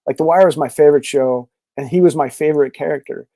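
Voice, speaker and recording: A man talks casually as a podcast guest, rambling on with plenty of fillers. His voice is mellow and warm, a touch higher than most. The sound is dry and close. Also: fast talker, no echo. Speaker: podcast guest, comic creator. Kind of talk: casual interview chat.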